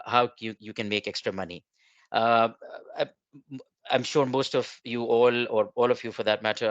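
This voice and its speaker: A middle-aged man whose first language is Urdu gives a webinar presentation, halting with many fillers and restarts. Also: lowish voice, a bit bright, no room sound. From Pakistan. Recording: dull lo-fi online call.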